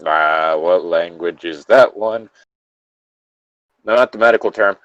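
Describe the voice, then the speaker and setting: A man's voice: deep voice, measured pace, a bit bright, no echo, lo-fi sound. A man co-hosting a comic read-aloud, bantering casually and swearing with mock indignation. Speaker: co-host reading video game creepypastas aloud.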